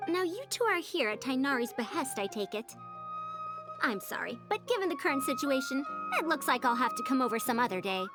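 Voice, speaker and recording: A haughty, fussy lady academic from a video game. The voice is high and swoops expressively, with formal, old-fashioned wording and an elder's airs. Music plays behind it. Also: very animated, fairly quick. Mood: exasperated. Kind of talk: video game character voice acting.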